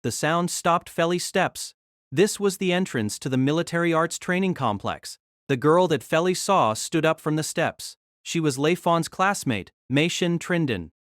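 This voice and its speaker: Animated female story narrator, dry sound. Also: low-pitched, normal speed, no echo. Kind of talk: scripted dramatized story narration.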